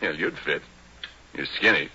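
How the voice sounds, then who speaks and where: An actor in a 1940s radio drama playing a good-natured, rough oil rig worker who narrates and talks in a colloquial, ungrammatical working-man way. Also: rumbling low, very animated, measured pace, pushed and bright, exaggerated voice. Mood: easygoing, hospitable. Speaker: narrator and character. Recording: muffled old-time radio recording.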